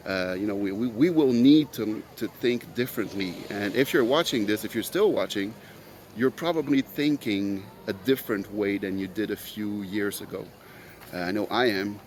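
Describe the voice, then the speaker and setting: A man's voice: on the low side, normal speed, a little mellow, a little hiss or hum. A man whose first language is French, hosting his own podcast in an earnest, rambling solo monologue full of fillers. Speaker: podcast host.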